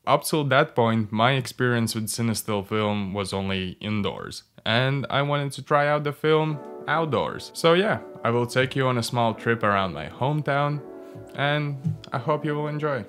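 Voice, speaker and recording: A man's voice: mid-range voice, moderate pace, fairly bright tone, faint music behind. A young man from Latvia, chatting casually and joking through a travel vlog with a few swear words. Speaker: vlogger.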